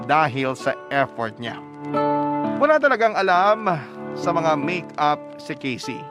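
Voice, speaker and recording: A man's voice: on the high side, close to an everyday voice. A man playing a proud, sentimental young man who narrates his love story for a radio drama, earnest and reflective. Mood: touched, fond.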